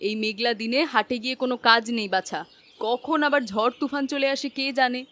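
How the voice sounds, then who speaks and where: A woman playing a worried, protective village wife in an animated moral story, fretful and chiding. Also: fairly high pitch, fairly quick, natural voice.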